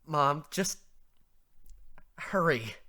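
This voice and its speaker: A woman voicing a nervous teenage son in an audio drama, halting and anxious. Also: low-pitched, expressive, sharp tone, full character voice. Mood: nervous, pleading.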